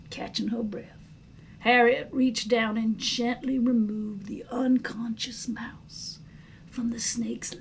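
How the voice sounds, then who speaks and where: A woman reading a storybook aloud as narrator, in an expressive, dramatic style with a hushed storytelling manner. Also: a little high, a little hiss or hum. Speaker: storybook reader.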